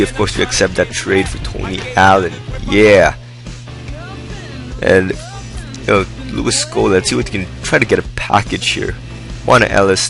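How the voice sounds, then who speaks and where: A young man talks through his gameplay in a low, gravelly voice that has gone hoarse from a long session. His commentary is loose and rambling but upbeat, and faint music plays underneath. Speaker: gaming video host. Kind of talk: casual game commentary. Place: indoors, hot room.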